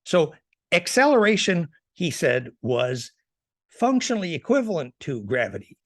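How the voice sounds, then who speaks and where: A man presents an informal lecture with a lively, widely swinging pitch that sits a little high. He is assertive and emphatic, sometimes halting and restarting his phrases. The sound is dry and close. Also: no echo. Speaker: presenter of fringe physics theories.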